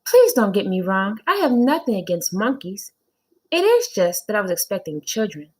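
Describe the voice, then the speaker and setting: A woman's voice: mid-range voice, sing-song, normal speed, slightly rough. A woman voicing a flustered, confused but nice female schoolteacher in a children's storybook, exclaiming with emphasis and disbelief. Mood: apologetic.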